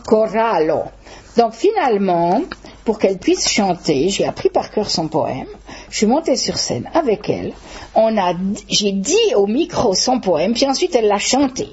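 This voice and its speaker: An older woman teaching at a public talk, explaining in a measured way and stretching words for emphasis, with a slightly husky voice. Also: mid-range voice, darkish tone, lo-fi sound. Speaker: Esperanto teacher giving a talk. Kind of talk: public talk with audience questions.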